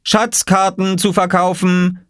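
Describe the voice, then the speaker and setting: Man voicing a cheeky little boy, pitched up high. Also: sing-song, a little fast, no room sound, clean studio sound. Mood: hawking loudly. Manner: eager, triumphant, calling out. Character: cheeky, stubborn little boy, pleased with his scheme.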